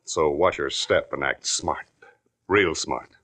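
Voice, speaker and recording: A guarded businessman speaks in a low, terse, commanding voice. He hands out warnings like orders, in 1940s radio style. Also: takes it slowly, over loud music, exaggerated voice. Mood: curt. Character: curt, guarded male businessman giving orders.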